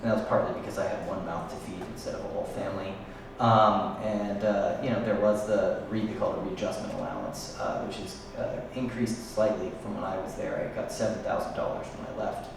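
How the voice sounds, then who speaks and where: A man's voice: fairly low pitch, vocal fry. Reflective man, echoey hall from afar. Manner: reflective, halting with many fillers. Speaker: panelist. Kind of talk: panel talk to a live audience. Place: large room at a live event. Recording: echoey hall, speaking from far off, noisy.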